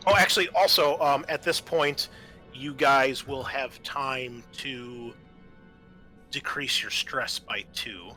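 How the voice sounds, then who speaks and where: A man with a bright, forward voice runs a tabletop roleplaying session as game master. He talks casually to his players, with music playing underneath. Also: medium pitch, slow pace.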